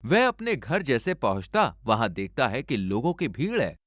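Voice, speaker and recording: A man's voice: medium pitch, huge pitch swings, normal speed, fairly bright tone, dry recording, clean studio sound. A man reading a scripted moral story as a storyteller.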